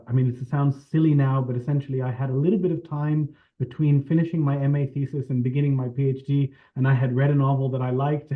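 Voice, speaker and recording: A man on a panel talking as a translator over a remote link, thoughtful and circling, with many fillers. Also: mid-range voice. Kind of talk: panel talk on a video call. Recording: tinny phone-like audio.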